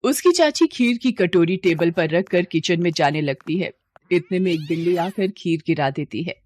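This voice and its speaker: A woman's voice: on the low side, expressive, moderate pace, darkish tone, a little hiss or hum. A woman narrating a scripted moral story as a storyteller.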